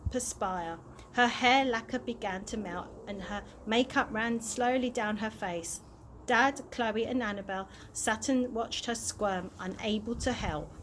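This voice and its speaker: A woman's voice: fairly high pitch, a little hiss or hum, low-quality recording. A woman reading a children's story aloud expressively, occasionally stumbling over words and correcting herself. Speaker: early years practitioner reading aloud.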